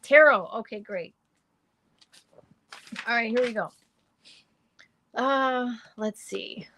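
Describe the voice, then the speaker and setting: Chatty middle-aged woman, slightly hoarse. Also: fairly high pitch, slow, fairly bright tone, slight background noise. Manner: rambling, chatty, many fillers and restarts. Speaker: astrology and tarot reader. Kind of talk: live-stream reading, chatting with viewers.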